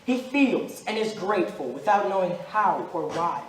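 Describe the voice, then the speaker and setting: Young male actor as Judas-like accusing spirit: high voice, solemn and deliberate, amateur stage drama, picked up from across a roomy space. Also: expressive. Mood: ominous, mournful. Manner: solemn, deliberate, declamatory storytelling. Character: Judas, ghostly accusing stranger, eighteen centuries old, grave and relentless. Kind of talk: amateur stage play acting. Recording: roomy, noisy stage recording from far off.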